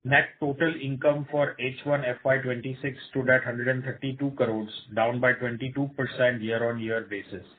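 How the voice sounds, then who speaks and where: Male executive, Indian accent, measured scripted earnings-call delivery, thin telephone-band sound. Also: medium pitch. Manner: formal, measured reading of figures. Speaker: company executive presenting results. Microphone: telephone conference line. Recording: tinny narrow phone line.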